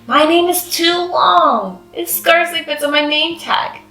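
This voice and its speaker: A woman's voice: extremely high pitch, measured pace, pushed and bright, roomy sound, soft background music, voice pushed up, exaggerated voice. A woman voicing a sensitive young girl mouse, earnest and childlike, for a children's storybook. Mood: miserable.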